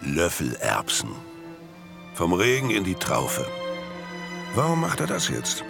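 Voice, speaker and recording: An actor playing a world-weary, dryly ironic narrator in a Berlin crime radio drama. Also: male voice, deep voice, huge pitch swings, takes it slowly, vocal fry, over music, full character voice. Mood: dry, mocking. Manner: dry, ironic.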